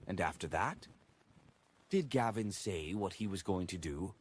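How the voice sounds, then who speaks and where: Man voicing a stern woman investigator, low-pitched. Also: expressive, unhurried, breathy edge, heavily acted. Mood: probing. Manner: hushed, probing, suspicious. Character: stern, awe-inspiring woman questioning an informant. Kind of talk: audiobook character dialogue.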